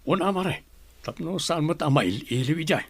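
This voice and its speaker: A man's voice: on the high side, lively intonation, normal speed, creaky, slightly rough, dry recording, exaggerated voice. A man playing a casual, neighborly family friend in an audio drama. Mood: friendly. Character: family friend, a man gently passing on news. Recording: loud music under the voice.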